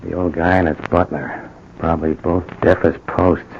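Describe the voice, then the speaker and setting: A deep-voiced, pushy radio-drama crook. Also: male voice, little pitch movement, normal speed, vocal fry. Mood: hushed, urgent. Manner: tough slangy wise-guy talk, bullying and coaxing. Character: pushy streetwise male stick-up crook, cynical schemer. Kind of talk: old-time radio drama acting. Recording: dull, noisy old radio broadcast recording.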